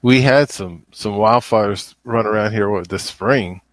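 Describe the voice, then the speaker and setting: A man's voice: lowish voice, a little slow, dry recording. A man talking informally on a podcast in casual, laid-back conversation. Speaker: podcast co-host or guest.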